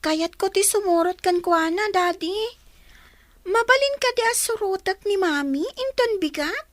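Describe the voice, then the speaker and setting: Little schoolgirl in a radio play, super high voice, dry sound, pleading and earnest. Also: animated, measured pace, a bit bright, no echo, heavily acted. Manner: pleading, questioning. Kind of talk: radio drama acting.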